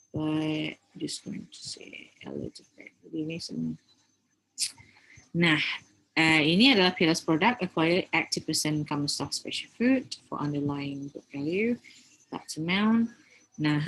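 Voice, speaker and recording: An Indonesian woman speaks in a low, husky voice, teaching as a lecturer would in an informal recorded class. She explains step by step, mixing in English terms and often adding small tags to check that listeners follow. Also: low-quality recording. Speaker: accounting lecturer.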